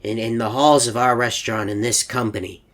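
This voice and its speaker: A man's voice: medium pitch, fairly even intonation, fairly quick, fairly bright tone, lightly acted. A man voicing an anxious, mild-mannered animatronic robot in a video game, speaking politely with hesitant stammers. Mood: worried, hesitant. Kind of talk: game character monologue.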